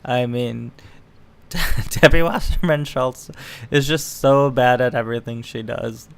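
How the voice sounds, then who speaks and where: A man's voice: mid-range voice, steady tone, unhurried, vocal fry, clean tone. A man giving casual, opinionated reaction commentary in a mocking, sweary way. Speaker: online political commentator.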